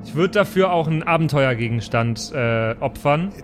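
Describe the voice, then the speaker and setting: A man's voice: on the high side, animated, normal speed. A man improvising in-character role-play and table talk as a player, informal and prone to stumbling over his words. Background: background music.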